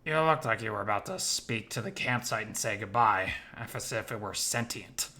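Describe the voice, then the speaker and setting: Man voicing a bright-voiced cheeky young bat guy. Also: lowish voice, animated, moderate pace. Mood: dry, teasing. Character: young male bat, cheeky, easily flustered companion.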